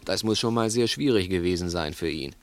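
An actor in a 1960s German radio play playing a cunning, domineering crook who is menacing, insistent and patronising. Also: male voice, deep voice, a little fast, slight background noise, natural voice. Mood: agitated, mocking. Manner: insistent, theatrical, probing with rhetorical questions.

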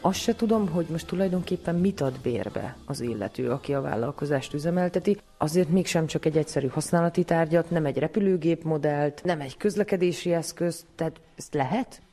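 A woman's voice: deep voice, few pauses, dark tone, faint music behind. A woman hosting a radio programme, putting questions to her guests in an interview style.

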